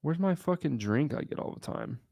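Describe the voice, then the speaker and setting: A man's voice: a little high, lively intonation, normal speed, vocal fry, darkish tone, dry recording, clean studio sound. Brash young man chatting on a livestream. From Southern Ohio. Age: young adult. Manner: brash, blunt, swears freely. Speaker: livestream host. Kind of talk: casual livestream chat answering viewers.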